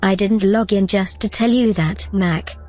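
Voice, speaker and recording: A woman's voice: medium pitch, unhurried, darkish tone, natural voice. A woman playing a determined artificial intelligence in an audio drama, composed, persuasive and insistent. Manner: composed, insistent, matter-of-fact. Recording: music behind the voice.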